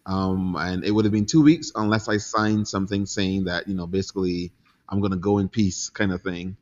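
A man in his forties from Barbados, a guest chatting casually in an interview, relaxed, laughing easily and pausing with ums. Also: low voice, dull audio. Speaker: guest entrepreneur.

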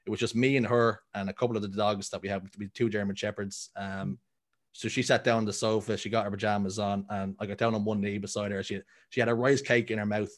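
Rather low-pitched man of thirty with a Dublin Irish accent, telling stories as a guest in a relaxed interview. He rambles, starting over often and filling gaps with ums. His talk stays easy and conversational. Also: quick pace. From Ireland. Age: 30s. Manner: rambling, full of ums and restarts. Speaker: guest, content creator. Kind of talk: casual interview chat.

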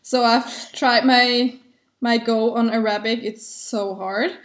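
A woman hosting a casual interview, warmly complimenting her guest and laughing often as she asks questions. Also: on the high side, steady tone, slow, echoey room.

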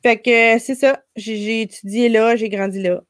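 A woman's voice: fairly high pitch, fairly even intonation, normal speed, dry recording. Franco-ontarian woman w quebec accent, chill storytelling, dead dry sound. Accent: Québécois French. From Ontario, Canada. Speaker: comedian guest. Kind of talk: casual podcast chat.

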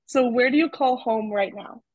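A young woman co-hosting a student podcast, reading intros and asking quick, friendly questions. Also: on the high side, a little mellow, no room sound.

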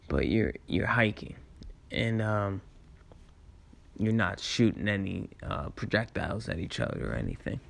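A man with a low, raspy voice full of gravelly creak, sounding tired at the end of a long day. He rambles through personal memories at a very unhurried pace. His phrasing is halting and reflective, with laid-back slang. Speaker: storyteller sharing personal memories. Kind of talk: casual rambling personal storytelling.

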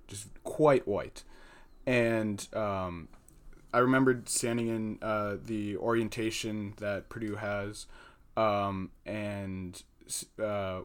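A young American man, an engineering student, answering podcast questions in an earnest, hesitant way with many pauses and fillers. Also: on the low side. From United States. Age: young adult.

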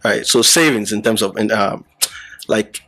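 Gravelly low-voiced man explaining, halting. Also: a bit bright, faint music behind. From Ghana. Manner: halting, many fillers and restarts. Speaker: podcast guest, app founder. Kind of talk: casual explanatory interview talk.

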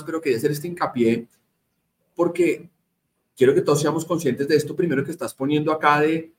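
Higher-pitched Colombian man hosting, noisy background. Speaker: host interviewing a guest. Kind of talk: conversational live interview. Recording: noticeable background noise.

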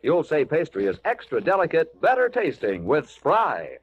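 A man's voice: fairly high pitch, wildly swooping pitch, normal speed, highs cut off, noisy background. A man announcing credits and reading advertising copy in a scripted 1940s radio style, on an old broadcast. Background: music.

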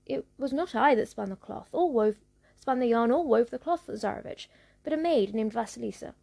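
A woman voicing a kindly old grandmother in a storybook reading, gentle and humble. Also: fairly high pitch, expressive, moderate pace, gravelly. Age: over 60.

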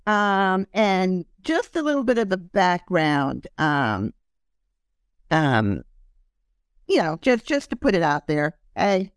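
A woman's voice: lowish voice, very animated, slow, clear, resonant tone, no echo, clean studio sound. A woman hosting a podcast in casual interview chat, talking loosely with many ums and fillers. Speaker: podcast host.

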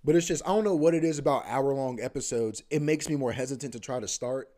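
Young male podcast host, rambling and profane, filler-heavy, mid-pitched. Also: quick pace, mellow. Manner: loose and profane, heavy filler like "bro" and "you know what I mean"; repeats himself, often restarts. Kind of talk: casual rambling monologue.

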